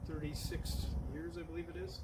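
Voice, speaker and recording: A man's voice: on the high side, flat delivery, fairly quick. An older man who volunteers as a parish cook, chatting casually and easygoing in short broken phrases. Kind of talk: casual chat. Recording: distant mic with loud traffic noise.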